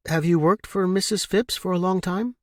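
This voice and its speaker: A man's voice: high-pitched, fairly even intonation, moderate pace, no echo, studio quality, voice pushed up, natural voice. A man voicing a polite, uncertain young woman in an audiobook, speaking with courteous hesitation. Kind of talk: audiobook character voice.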